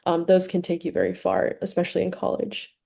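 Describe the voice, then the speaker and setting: A young woman, a student panelist, giving a relaxed answer with casual fillers. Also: on the low side, brisk, vocal fry, breathy edge. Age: young adult.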